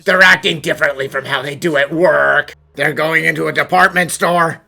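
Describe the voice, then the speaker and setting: A woman voicing a blunt, dry-witted cartoon sidekick creature in a fan dub, gravelly and matter-of-fact. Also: low-pitched, steady tone, normal speed, sharp tone, exaggerated voice. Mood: deadpan. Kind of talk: animated fan dub voice acting.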